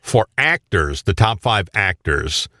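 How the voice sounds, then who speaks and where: A man's voice: sits low, sing-song, takes it slowly, pushed and bright, no room sound, studio quality. A man co-hosting a radio show, bantering casually as he reads out countdown lists.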